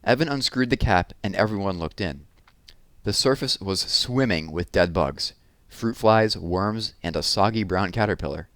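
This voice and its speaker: Male read-aloud narrator: deep register, dry sound, expressive delivery, deliberate stress on dramatic words. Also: dry recording.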